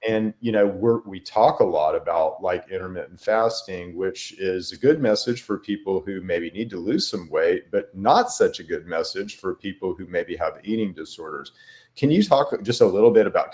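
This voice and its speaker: A man hosting a health podcast, speaking in a halting, casual way with frequent restarts. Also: fairly low pitch, darkish tone. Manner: halting, lots of fillers and restarts. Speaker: podcast host.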